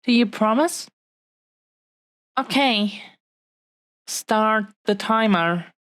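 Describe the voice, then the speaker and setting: A woman playing an affectionate, possessive dragon-blooded fiancée in an intimate romantic audio roleplay, speaking tenderly and haltingly to the listener. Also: fairly high pitch, a bit bright, no echo. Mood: tender, pleading. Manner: tender, halting, lingering over short phrases.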